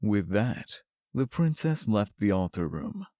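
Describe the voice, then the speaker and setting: Male fantasy narrator: soft warm tone, fairly low, scripted read. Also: very animated, slow pace, no echo, clean studio sound.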